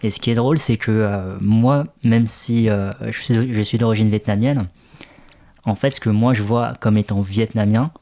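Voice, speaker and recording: A man from France talking casually in an interview, thoughtful and halting, with lots of filler sounds. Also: on the low side, steady tone, dark tone. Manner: hesitant, many "euh" fillers, thoughtful. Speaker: interview guest, restaurant co-founder.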